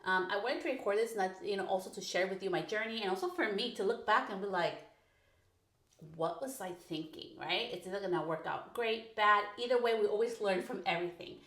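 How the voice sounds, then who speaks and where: A woman's voice: medium pitch, brisk, a bit bright. Chatty woman in an echoey bedroom. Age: 30s. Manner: chatty, frank, peppered with "like", laughs often. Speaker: podcast host. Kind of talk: casual personal podcast monologue. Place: her bedroom recording corner. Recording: echoey home room.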